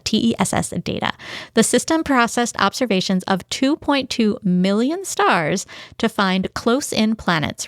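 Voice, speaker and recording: A woman's voice: medium pitch, dry recording, studio quality. An American woman co-hosting a podcast, explaining things in a casual conversational style. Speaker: podcast co-host.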